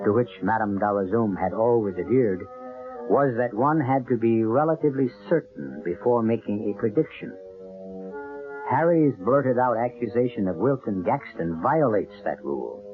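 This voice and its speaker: A man with a lowish voice narrates a radio mystery as its host. His phrasing is measured and literary. Music plays behind him on a narrow, tinny broadcast. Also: lively intonation. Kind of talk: scripted mystery drama narration.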